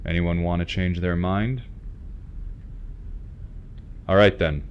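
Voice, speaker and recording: A man playing a calm, decisive starship captain in a science fiction audio drama, speaking with measured authority. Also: low voice, muffled recording. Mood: decisive, serious. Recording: background noise, ship ambience.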